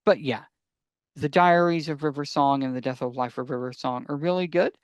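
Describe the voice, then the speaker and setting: A man's voice: mid-range voice, brisk, smooth, no echo, dull audio. A man co-hosting a podcast in casual talk, eagerly explaining his recommendations and answering his own questions. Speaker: podcast co-host.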